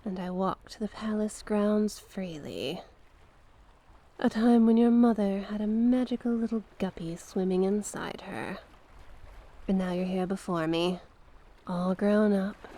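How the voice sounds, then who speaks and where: A woman's voice: fairly low pitch, a little mellow. A woman voicing a mysterious older sea witch in an intimate ASMR roleplay, coaxing and theatrical in her delivery. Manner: slow, theatrical, coaxing, with terms of endearment.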